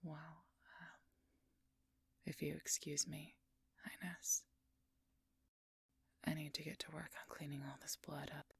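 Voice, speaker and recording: A woman playing a wounded, protective female werewolf knight in an intimate audio roleplay, speaking in a breathy, husky, gravelly whisper. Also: deep voice, level tone, slow pace, long pauses, a bit bright, heavily acted. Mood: tired, guarded.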